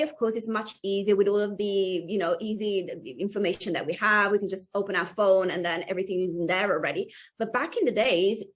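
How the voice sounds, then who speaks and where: A woman whose first language is Italian, hosting a casual interview chat in an enthusiastic, friendly way with hesitant fillers. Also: mid-range voice. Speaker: podcast host.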